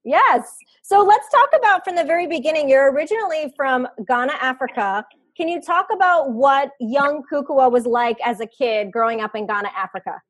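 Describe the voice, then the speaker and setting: A woman's voice: sits high, expressive, moderate pace. A woman hosting a podcast interview, speaking in an upbeat, enthusiastic way. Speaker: podcast host.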